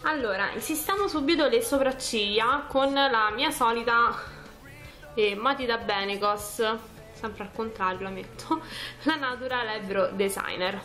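Higher-voiced young woman chatting informally. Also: unhurried, bright, forceful tone, soft background music. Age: 20s. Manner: informal, chatty, narrates actions step by step. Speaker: beauty vlogger. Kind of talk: casual makeup tutorial chat to camera. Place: small furnished room at home, indoors.

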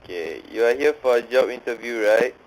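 A man's voice: mid-range voice, brisk, poor audio. A man from Singapore with a Singaporean English accent, joking around in team voice chat during an online shooter match and playing a mock boss. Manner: joking, plays mock boss and job interviewer. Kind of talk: casual game chat.

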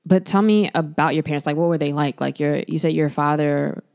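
Young woman with a low voice, easygoing podcast interviewer on a studio mic. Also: fairly quick, a little mellow. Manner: easygoing, giggly, many fillers. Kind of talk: casual interview chat. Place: small studio, on a couch. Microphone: studio mic on a stand, headphones.

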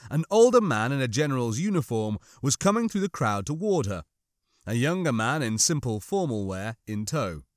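A man reading a novel as an audiobook narrator, with measured, dramatic delivery. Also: medium pitch, dry recording, clean studio sound.

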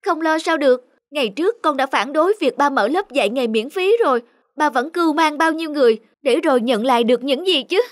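A woman's voice: high-pitched, brisk, no echo, clean studio sound, lightly acted. A woman voicing an indignant, protective young daughter in a cartoon drama, emphatic and reproachful.

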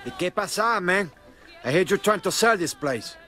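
A man's voice: high-pitched, expressive, normal speed, sharp tone, soft background music. An actor playing a brash, hot-tempered male drug lord in a video game cutscene, with a profane, swaggering way of talking. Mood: cocky. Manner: swaggering, profane, cursing constantly.

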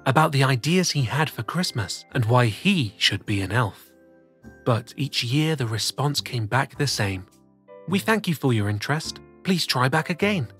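A man narrating a children's story as host, reading rhymes in a theatrical, deliberate way. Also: mid-range voice, slightly rough. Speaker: storyteller host of a children's read-aloud show. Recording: soft music bed behind the voice. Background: soft music.

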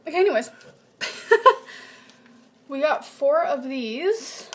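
A young woman showing her groceries in a casual home vlog, chatty and reading off nutrition numbers in a flat list, recorded on a webcam in her kitchen. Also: high-pitched, slow pace. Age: young adult. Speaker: vlogger. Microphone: built-in webcam mic at desk distance.

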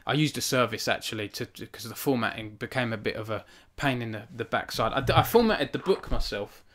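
A man's voice: medium pitch, animated, brisk, fairly bright tone. Man reading aloud and chatting to viewers. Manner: earnest and self-conscious, with frequent restarts and fillers when chatting. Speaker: author hosting a book launch stream. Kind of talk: reading aloud from his novel, then chatting with live viewers. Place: small home room. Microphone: studio microphone on a stand in front of him.

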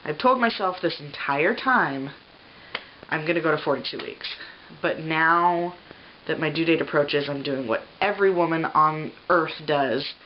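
A woman's voice: sits low, unhurried. A woman in her thirties, stuffy, scratchy-voiced and short of breath, chatting to camera in a rambling way with many fillers, filmed in a bedroom. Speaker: pregnancy vlogger. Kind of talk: casual chat to camera. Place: bedroom, indoors. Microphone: camera on a tripod at medium distance. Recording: slightly dull home video with faint hiss.

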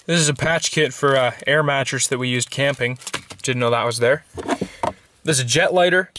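A man's voice: mid-range voice, normal speed, noisy background. A teenage young man from Canada giving a casual, rambling show-and-tell vlog from inside his parked pickup truck, filmed close on a handheld camera. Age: teens. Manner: relaxed, rambling, with fillers and asides. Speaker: vlogger.

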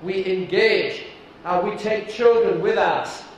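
A middle-aged British man giving a keynote talk on a large stage, deliberate and emphatic, with some fillers. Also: sits high, a little hiss or hum. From United Kingdom. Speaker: keynote speaker. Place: large dark stage before an audience. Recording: echoey hall from a distance.

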